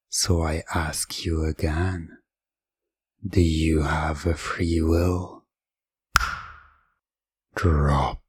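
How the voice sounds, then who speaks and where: A man playing a suave, teasing, controlling game show host in a hypnosis ASMR roleplay, with drawn-out, coaxing delivery and dramatic pauses. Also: low voice, darkish tone, husky, exaggerated voice. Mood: probing, commanding.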